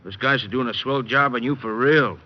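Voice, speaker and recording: A man's voice: mid-range voice, fairly quick, background noise, natural voice. An actor playing a big, simple, loyal tough guy bent on revenge, speaking plainly and slangily in an old-time radio drama. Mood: subdued, concerned. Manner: plain, blunt, slangy speech. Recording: muffled old radio recording with music.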